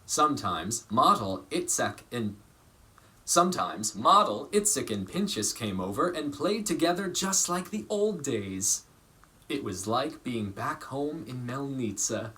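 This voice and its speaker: Expressive male storyteller with lively, varied pitch. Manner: measured, dramatic phrasing with pauses for emphasis. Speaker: storybook reader. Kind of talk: expressive scripted storytelling for children.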